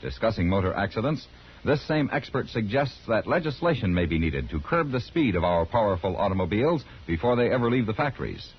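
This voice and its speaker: Man, American, 1950s radio announcer, low pitch, scripted formal read, dull vintage recording. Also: a little hiss or hum.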